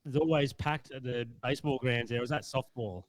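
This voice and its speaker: A young Australian man in his late twenties hosting a casual podcast. He talks in a laid-back, blokey way at a middle pitch, and his voice sounds dry and close, with little room echo. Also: brisk. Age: 20s. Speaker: podcast host.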